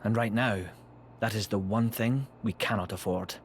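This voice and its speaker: An actor playing a courteous, grave army commander in a video game cutscene, speaking in a formal, measured way. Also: male voice, fairly low pitch, fairly even intonation, slight background noise, lightly acted. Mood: grave, regretful.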